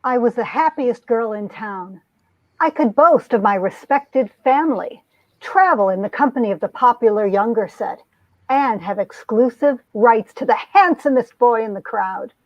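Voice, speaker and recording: A woman's voice: a little high, expressive, moderate pace, no echo, close to an everyday voice. A woman playing an earnest young small-town heroine telling her story in a romance comic reading, on dull lo-fi audio. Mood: dramatic, hurt.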